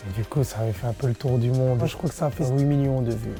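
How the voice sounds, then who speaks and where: A young man talks in a relaxed, playful, slangy way and leans on fillers. His voice is mellow and sits at an ordinary pitch. He sounds like a dancer and online comedian chatting in an interview. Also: fast, faint music behind. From Belgium. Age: 20s. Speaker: dancer and social media comedian. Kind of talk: casual interview answers.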